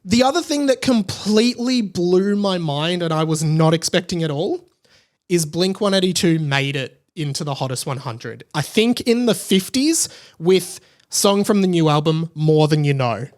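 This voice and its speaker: Aussie guy in his 30s doing a rambly solo podcast, voice a bit on the high side. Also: normal speed, darkish tone. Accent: Australian. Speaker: podcast host. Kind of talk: casual solo podcast commentary.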